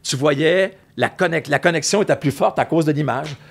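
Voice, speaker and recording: A man with a Québécois French accent telling animated, rambling anecdotes in a casual chat. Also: a little high, fairly quick, vocal fry, husky. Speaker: guest, former music TV host.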